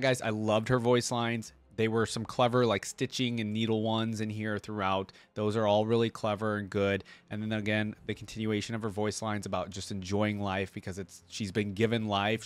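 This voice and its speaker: A young man hosting a reaction video, chatting in an enthusiastic, slangy, rambling way. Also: on the low side. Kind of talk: casual chat, fan commentary.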